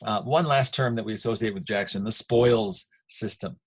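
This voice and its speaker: Husky, low-voiced American history teacher. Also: male voice, normal speed. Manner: conversational, emphatic, asks rhetorical checks. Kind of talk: informal history lecture.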